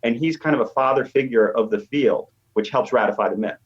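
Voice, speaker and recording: A man's voice: medium pitch, fairly quick, husky. A middle-aged or older American man, a professor guest explaining things conversationally and emphatically in an interview. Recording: dull, slightly lo-fi voice track.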